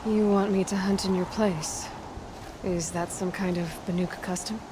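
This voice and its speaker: An actress playing a capable, plainspoken young huntress in a video game, direct and understated. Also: female voice, mid-range voice, fairly even intonation, moderate pace, background noise, lightly acted. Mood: questioning.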